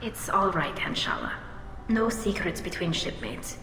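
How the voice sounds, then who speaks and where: A woman's voice: on the low side, very animated, unhurried, a bit bright, a little hiss or hum, exaggerated voice. A woman playing an earnest, loyal young alien engineer with an emotional delivery in a video game. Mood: gentle. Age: young adult. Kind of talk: video game dialogue acting. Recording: echoey hall, faint music behind.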